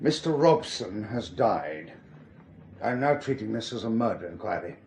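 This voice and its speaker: Lively, suave German police inspector. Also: male voice, fairly low pitch, normal speed, reverberant, slight background noise. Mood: stern, insistent. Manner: formal, precise, elaborately polite. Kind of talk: radio drama acting.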